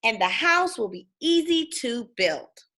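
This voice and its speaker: A carefree, overconfident young boy piglet from a storybook, acted out by a woman. He talks casually and eagerly, in a bright voice pitched high. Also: very animated, measured pace, exaggerated voice. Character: Porter, relaxed, breezy, overconfident young male piglet.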